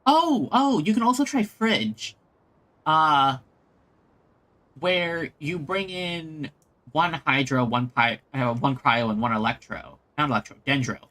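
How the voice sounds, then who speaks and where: A man chatting casually as he streams a game, halting as he thinks aloud. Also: on the high side. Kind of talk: casual chat while playing a game. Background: soft game music.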